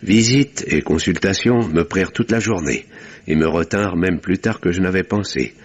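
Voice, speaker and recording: A reserved, weary country doctor in a radio mystery. His voice is very low and slightly husky, his delivery measured and formal. The sound is dull, like a vintage broadcast. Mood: grave, measured. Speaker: narrator and lead actor. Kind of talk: French radio mystery drama acting and narration.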